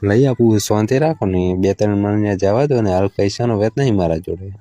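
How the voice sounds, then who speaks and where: A man's voice: fairly low pitch, brisk, dark tone, no echo, natural voice. A man with a Gujarati accent playing a hard-up village lover who stalls, placates and bargains in a casual phone conversation. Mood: stalling, teasing.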